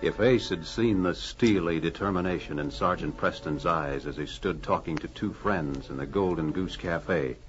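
A man's voice: low-pitched, normal speed, hardly any pauses, music in the background. A man narrating a radio adventure serial in a dramatic, scripted old-time announcer style. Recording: muffled, hissy old radio recording.

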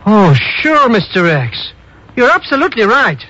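A timid, bumbling comic sidekick with a very high, swooping voice. He stammers and gets flustered, in the broad style of 1950s radio drama. The old recording is muffled. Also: sing-song, normal speed, bright, forceful tone, slightly rough, heavily acted. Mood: puzzled, chatty. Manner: stammering, flustered, repeats himself.

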